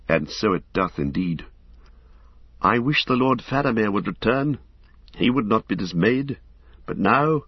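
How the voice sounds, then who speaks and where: A man's voice: fairly low pitch, slightly rough, dry recording. A man voicing a grave, loyal adult guardsman with solemn, old-fashioned speech, from an audiobook reading. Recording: dull lo-fi audiobook recording.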